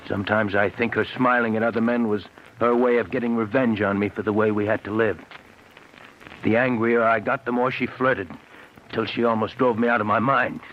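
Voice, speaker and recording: Muffled, hissy radio play: male actor as worn-out bitter ex-con, low voice, brooding and insistent. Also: fairly even intonation, fairly quick, natural voice. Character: embittered, prematurely aged ex-convict, big and broken. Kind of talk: 1940s radio drama acting. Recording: muffled noisy old radio recording.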